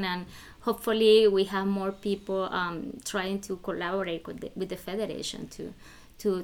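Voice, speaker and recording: A woman from Venezuela with a Venezuelan Spanish accent, a guest on a podcast. Her voice sits at an ordinary middle pitch. She searches for words, leaning on fillers and repeats. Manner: hesitant, many fillers and restarts. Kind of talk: podcast interview.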